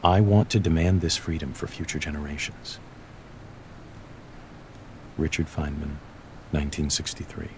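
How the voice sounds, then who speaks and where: A man playing an earnest, principled scientist, reading a quotation solemnly and deliberately for a game audio log. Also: a bass voice, background noise. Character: earnest, principled male scientist pondering doubt and freedom.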